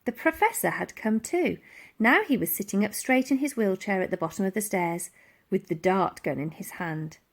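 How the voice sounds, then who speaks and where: A woman narrating a children's adventure story in an animated storytelling style. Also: medium pitch, normal speed. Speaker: audiobook reader.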